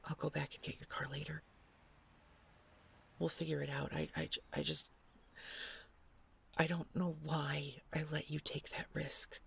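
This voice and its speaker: Woman acting a husky, gravelly, protective nightmare companion. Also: deep voice, little pitch movement, full character voice. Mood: worried, apologetic. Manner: halting, earnest, breathy. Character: protective, anxious female nightmare companion, devoted to human. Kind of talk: horror audio roleplay acting.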